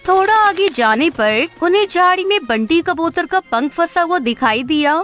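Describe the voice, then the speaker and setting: A woman's voice: very high-pitched, animated, moderate pace, fairly bright tone, faint music behind. A woman giving scripted narration for a children's cartoon story.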